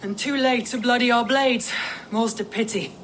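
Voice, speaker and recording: A woman's voice: on the high side, moderate pace, a bit bright, slight background noise, lightly acted, rough recording. Woman as a firm Viking war chief. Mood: determined. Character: brisk, battle-ready female Viking war chief. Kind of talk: dramatic video game acting.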